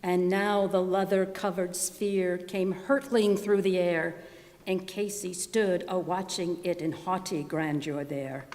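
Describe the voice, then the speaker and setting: A woman's voice: lowish voice, steady tone, takes it slowly, darkish tone. A woman reciting a narrative poem as a readers theater performer. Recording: reverberant hall.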